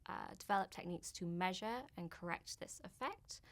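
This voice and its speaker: A southern English woman with a slightly low voice gives an interview. She explains her research in an orderly, explanatory way. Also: normal speed, vocal fry. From Oxford, England. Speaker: postdoctoral astronomy researcher.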